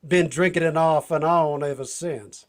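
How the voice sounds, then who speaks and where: A man's voice: on the high side, normal speed, close to an everyday voice. A man acting a brash, ornery, teasing adventurer in an old-time radio drama, with an American accent and folksy drawling slang.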